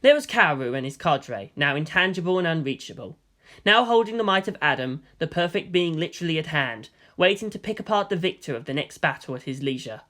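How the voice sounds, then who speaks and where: Casting: narrator for dark dramatic fiction, solemn and portentous, with a bright, tense edge and deliberate phrasing. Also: mid-range voice, expressive. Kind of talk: dramatic scripted story narration.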